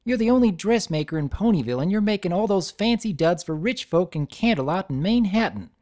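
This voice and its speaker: A narrator acts out a folksy farm mare from a cartoon story. The voice is raised and loud, with a blunt, needling, taunting way of talking. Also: mid-range voice, expressive, brisk, pitched above the performer's own voice, close to an everyday voice.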